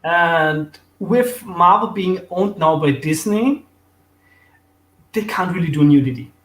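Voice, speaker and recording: A man's voice: high voice, slow, a bit bright, reverberant, low-quality recording. A man from Austria with an Austrian accent, a guest chatting eagerly about comics with many fillers and restarts. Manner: enthusiastic, fillers and restarts, laughs easily. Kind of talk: casual chat about comics.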